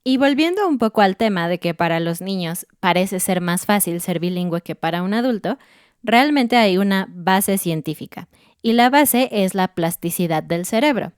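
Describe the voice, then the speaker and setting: A woman co-hosts an educational podcast, laying out ideas in a clear, steady way. Her voice is smooth and crisp, recorded close and dry. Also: medium pitch, moderate pace, no echo. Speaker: podcast co-host.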